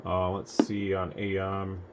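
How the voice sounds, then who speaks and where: A man's voice: low-pitched, monotone, a little slow, very noisy. A man from the United States giving a casual, rambling hands-on product demonstration as an equipment reviewer, recorded at home on a phone camera. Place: home room with radio test equipment.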